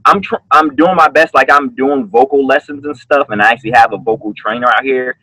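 Singer, male, casual, bright close voice over a webcam, restarts a lot. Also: mid-range voice, husky, no echo, low-quality recording. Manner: earnest, halting, many restarts. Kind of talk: casual video call chat. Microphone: webcam on a video call.

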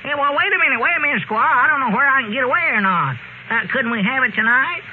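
An actor playing an excitable, teasing old country storekeeper in a folksy rural Southern accent, in classic radio comedy style. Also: male voice, very high voice, fast. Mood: flustered. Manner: folksy, rambling, giggly. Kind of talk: 1930s-40s radio comedy acting. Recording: scratchy, narrow-band old radio recording.

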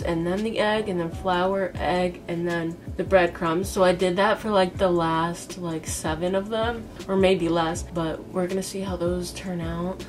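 A woman's voice: medium pitch, distant mic. A woman in her twenties with an American accent, chatting informally and thinking aloud as she films herself cooking in a home kitchen. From United States, East Coast. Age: 20s. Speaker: cooking vlogger. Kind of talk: casual chat to camera while cooking. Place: small home kitchen, indoors. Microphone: camera a few feet away. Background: music.

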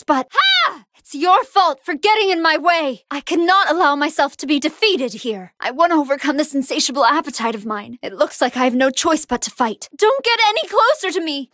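A woman voicing a gentle, polite young female android fighter, reluctant yet determined, in short earnest exclamations for an anime fighting game. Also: extremely high pitch, no room sound, studio quality, exaggerated voice. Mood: reluctant, resolved.

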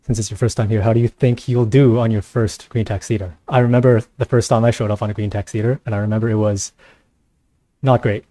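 A man's voice: fairly low pitch, steady tone, fast talker, warm tone, no echo. A man hosting a casual live panel show, sounding somewhat tired. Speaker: host.

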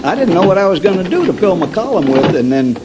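A man's voice: high voice, sing-song, fast. An older American man playing an eager, boastful frontier newspaperman in a radio drama, folksy and stammering. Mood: gleeful. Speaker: radio actor. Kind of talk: old-time radio drama re-creation, read from script. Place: convention meeting room, indoors. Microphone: stand microphone on stage. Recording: very noisy amateur live-stage video.

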